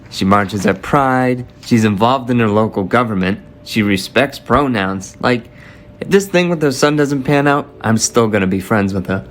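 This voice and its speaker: A man playing a sassy, gossipy, catty teenage debater in an amateur radio drama, chatty in his delivery. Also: natural voice. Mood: gossipy, pleased. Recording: clear background noise with low rumble.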